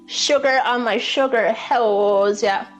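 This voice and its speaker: An Irish woman talks in a fairly high voice, chatty and playful. She speaks off the cuff as a streamer would to her viewers, with some music playing behind her. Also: slow, rough recording. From Ireland. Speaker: live streamer. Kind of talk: casual live cooking stream chat. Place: indoors, in a kitchen.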